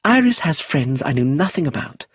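A man's voice: a little high, very animated, moderate pace, slightly rough, dry recording, clean studio sound, voice pushed up. A man voicing a fussy, gossipy older aunt who rambles in a prim, fluttery way. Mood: prim gossip.

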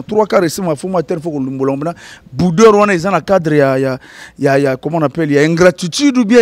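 A Congolese man hosting an interview in an outdoor courtyard, speaking quickly in a high voice. His handheld mic sounds close and dry, with little room around it. He keeps the talk lively and playful. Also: no echo. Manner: animated, laughing, breaks into sung bits. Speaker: host. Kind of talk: casual interview, mixing French and Lingala.

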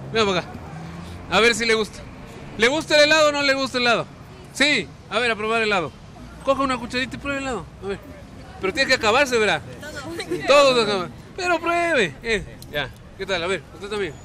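Ecuadorian guy hosting on the street, high bright voice, handheld mic, faint traffic noise. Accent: Ecuadorian Spanish. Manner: playful, coaxing, repeats words. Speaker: TV host. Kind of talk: lively on-location presenting. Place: hotel kitchen and street outdoors. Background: street ambience, traffic.

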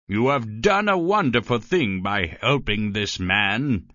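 A man voicing a gentle, polite old gentleman in a storybook reading, slow and courteous. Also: on the low side, animated, no room sound, muffled recording, full character voice. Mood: pleased.

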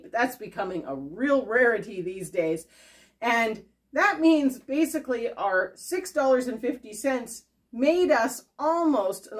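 Chatty woman hosting a home cooking video. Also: a little high. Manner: chatty, upbeat, thinks aloud while working. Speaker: homesteading channel host. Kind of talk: casual how-to cooking video. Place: home kitchen.

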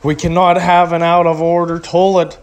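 A man's voice: a little high, flat delivery, normal speed, smooth. A young man from recording a joking, rambling voice-over at home, reviewing a public restroom in a mock-serious way.

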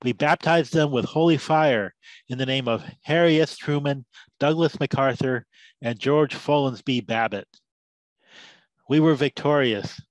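A man's voice: mid-range voice, unhurried, no room sound, natural voice, poor audio. A man performs an embittered old-soldier storyteller in a radio drama, well-oiled and loquacious. He delivers war memories like scripture, with a biblical roll to his phrases, in a dry, close sound. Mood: mock-preaching. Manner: loquacious, sermon-like oratory with biblical cadence. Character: embittered drunken war veteran Big Mike, preaching battlefield tales. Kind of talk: dramatised story, theatrical monologue.

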